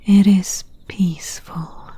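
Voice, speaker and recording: A woman playing a gentle, solemn friend in an ASMR roleplay, speaking in a breathy, hushed whisper with deliberate pauses. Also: mid-range voice, steady tone, no echo. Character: gentle, solemn friend warning of night spirits.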